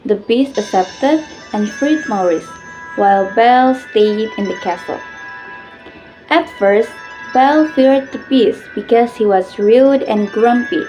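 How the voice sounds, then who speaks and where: A woman's voice: a little high, slow pace, mellow, soft background music, poor audio. A young woman giving a scripted student narration and explanation. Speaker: student presenter and storyteller.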